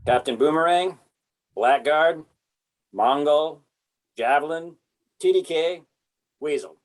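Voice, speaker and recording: A man's voice: a little high, slow pace. Man playing a dry military colonel. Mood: matter-of-fact. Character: gruff, dry military colonel leading a convict squad. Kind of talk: amateur script table read.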